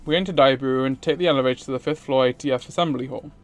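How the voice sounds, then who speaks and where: A man's voice: mid-range voice, racing. A man streaming a visual novel, reading it aloud theatrically and dropping in casual asides. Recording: music behind the voice. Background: game music.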